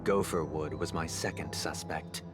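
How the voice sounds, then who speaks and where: A man playing a composed, solemn young head of a powerful family in a video game, speaking formally and in measured phrases. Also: rumbling low, fairly even intonation, over loud music. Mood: grave, composed. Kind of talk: scripted game dialogue.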